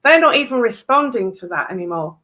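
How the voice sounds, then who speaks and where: A woman's voice: on the high side, lively intonation, a little fast, pushed and bright. A woman explaining things in a casual discussion, emphatically repeating her points for stress.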